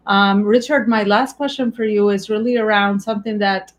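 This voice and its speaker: A woman with an Albanian accent, a native Albanian speaker, hosting an interview and asking questions in a warm, halting way with many fillers. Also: medium pitch, fairly even intonation. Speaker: podcast host.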